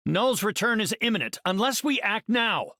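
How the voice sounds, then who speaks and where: An actor playing an earnest middle-aged scientist superhero in a video game, speaking in an articulate, cerebral, heroic way. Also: male voice, high-pitched, animated, normal speed, sharp tone, dry recording, studio quality, exaggerated voice. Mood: determined. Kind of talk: video game hero voice lines.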